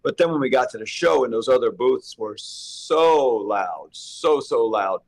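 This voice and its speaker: A man co-hosting a casual chat, slightly hoarse and rambling as he thinks aloud. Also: mid-range voice, animated, a little slow. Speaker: co-host.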